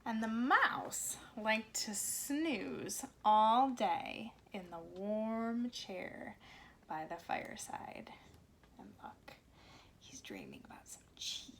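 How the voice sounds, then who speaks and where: Female storyteller for young children, unhurried and expressive, highish register, recorded at home with faint noise. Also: creaky. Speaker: speech pathologist reading a children's story. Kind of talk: children's storybook read-aloud. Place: cozy corner at home.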